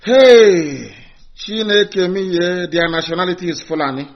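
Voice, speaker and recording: A man's voice: sits high, a little slow. Impassioned man on a lo-fi stream. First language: Igbo. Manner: fervent and agitated, crying out exclamations and rhetorical questions. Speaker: broadcaster of a live stream. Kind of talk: impassioned live-stream address.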